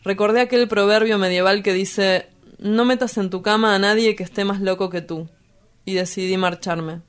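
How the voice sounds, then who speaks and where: A woman's voice: medium pitch, fairly even intonation, moderate pace, clean tone, lightly acted. A woman with an Argentine accent playing a brooding, blunt, poetic lover who lives by night, in a radio drama.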